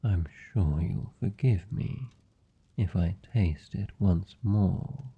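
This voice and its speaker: A man's voice: low-pitched, gravelly, breathy edge, phone-quality sound. A British man playing a suave, seductive vampire lord in a fantasy ASMR roleplay, speaking formally and teasingly with commanding, predatory politeness. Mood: pleased, intrigued. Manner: teasing, formal, silky and deliberate. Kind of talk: fantasy ASMR roleplay, slow intimate monologue.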